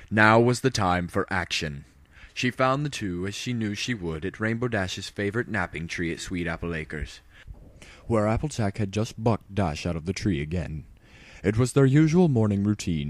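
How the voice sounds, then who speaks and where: A man's voice: on the low side, no echo. A man narrating a story as a tongue-in-cheek dramatic reading.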